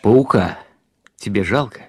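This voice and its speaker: A man's voice: lowish voice, animated, unhurried, vocal fry, darkish tone, husky, exaggerated voice. An actor playing an exasperated husband who puts pointed questions to his stubborn wife in a radio play. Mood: needling. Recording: music behind.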